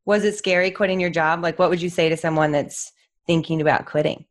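A woman's voice: fairly low pitch, fairly even intonation, fairly quick. A woman hosting a podcast interview, asking friendly questions and laughing along. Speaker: podcast host. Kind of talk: interview host.

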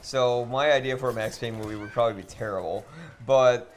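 A man's voice: medium pitch, a little fast. A man talking as a podcast co-host in a casual debate, earnest and argumentative.

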